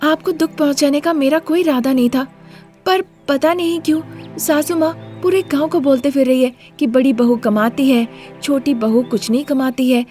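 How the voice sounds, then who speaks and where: Woman voicing a high-pitched, meek young daughter-in-law. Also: moderate pace, darkish tone, soft background music, natural voice. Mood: plaintive, hurt. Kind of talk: Hindi moral story character voice.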